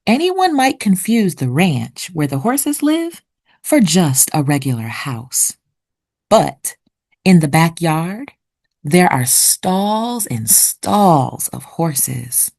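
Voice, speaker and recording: A woman narrating for children like a teacher, with deliberate, expressive enunciation. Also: lowish voice, gravelly. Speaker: read-aloud host and narrator. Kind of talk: children's picture book read-aloud with vocabulary teaching.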